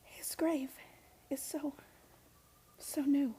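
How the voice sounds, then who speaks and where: An actress playing an earnest, disbelieving young heiress in a radio play, halting and insistent in her questions. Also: sits high, little pitch movement, dark tone. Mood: hushed, troubled.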